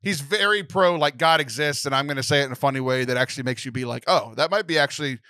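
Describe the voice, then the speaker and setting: Bright-voiced joking male podcast host. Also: mid-range voice, steady tone, quick pace, clear, resonant tone, no room sound, clean studio sound. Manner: joking, laughs often. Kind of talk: casual podcast chat.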